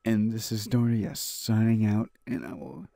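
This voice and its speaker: A man with a mellow, creaky voice reads a visual novel aloud as a gaming host. His delivery is halting, with stumbles and repeated phrases. The sound is close and dry. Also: fairly low pitch, steady tone, no room sound. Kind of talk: reading a visual novel aloud for a let's play.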